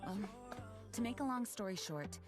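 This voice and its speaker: A woman voicing a calm, formal knight officer in a game cutscene, in a flat, tired-sounding tone. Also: on the high side, moderate pace, natural voice. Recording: game audio with music behind.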